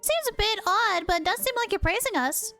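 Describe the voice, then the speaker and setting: A woman voicing a small, chatty, excitable fairy companion from a video game, exclamatory and curious. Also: a little fast, faint music behind, full character voice. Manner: squeaky, exclamatory, refers to self in third person. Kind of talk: video game dialogue read aloud.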